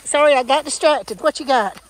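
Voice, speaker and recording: A woman's voice: sits high, normal speed. A woman with a Southern US accent chatting casually and folksily outdoors as a metal detecting vlogger. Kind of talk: casual chat. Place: outdoors on an old farm. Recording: faintly noisy outdoor camera audio.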